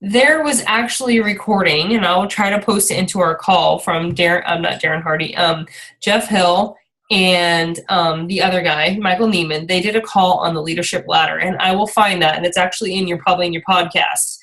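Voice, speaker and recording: A woman's voice: fairly low pitch, brisk, a bit bright, low-quality recording. A woman leading an informal motivational team training call, rambling a little and often checking in with her listeners as she encourages them. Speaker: team leader and coach hosting a call.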